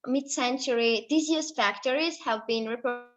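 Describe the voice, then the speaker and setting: A young woman from Georgia, just out of school, presenting her country in English with a Georgian accent, halting and full of fillers and self-corrections. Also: fairly high pitch, a bit bright, soft background music, poor audio. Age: late teens. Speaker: student presenter.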